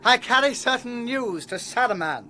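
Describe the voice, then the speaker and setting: An actor playing a frightened, sneaky, servile messenger in a radio fantasy drama, obsequious and pleading. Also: male voice, very high-pitched, animated, moderate pace, bright, forceful tone, slight background noise, exaggerated voice. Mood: anxious.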